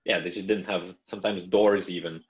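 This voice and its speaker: A man's voice: sits low, steady tone, fairly quick. A young man whose first language is Russian, who grew up in Canada, talking as a podcast guest in a thoughtful way, trailing off and starting over. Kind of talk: conversational interview. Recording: muffled lo-fi audio.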